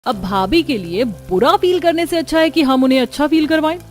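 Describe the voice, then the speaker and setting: A woman's voice: high-pitched, animated, brisk, faint music behind. A woman voicing a caring, outspoken young fashion designer in a family cartoon. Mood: sympathetic. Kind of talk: Hindi cartoon voice acting.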